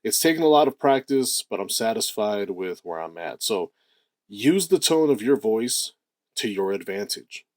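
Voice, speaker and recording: Deep-voiced man giving motivational advice. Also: normal speed, dry recording, studio quality. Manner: animated, stresses key words, draws out phrases. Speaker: self-improvement channel host. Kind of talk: motivational talk to camera.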